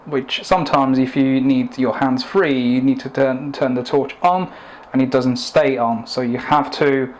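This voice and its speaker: Male reviewer, mid-pitched voice, informal and explanatory, slightly hesitant. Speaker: product reviewer.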